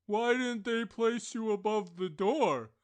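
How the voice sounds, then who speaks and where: A man's voice: high-pitched, slow pace. A man reading a storybook voices a sensible kitchen stove lifter who speaks in a dry, matter-of-fact way. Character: sensible plain-spoken stove lifter, practical.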